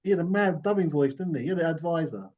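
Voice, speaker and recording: A man in a casual film chat, talking quickly and always checking that the others agree. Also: a little high, expressive. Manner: quick, chatty, seeks agreement. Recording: dull lo-fi mic.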